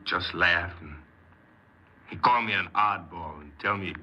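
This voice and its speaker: An actor playing a troubled, defensive criminal confessing in a 1950s radio crime drama, speaking earnestly and haltingly as a proud hypnoanalyst. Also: male voice, sits low, sharp tone, exaggerated voice. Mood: regretful. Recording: very noisy, muffled 1950s radio recording.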